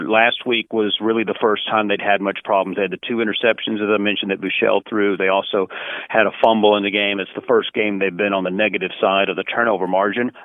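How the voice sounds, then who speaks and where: A man's voice: fairly low pitch, no room sound, narrow, tinny audio. A man who works as a play-by-play announcer giving detailed, articulate sports commentary as an interview guest, conversationally.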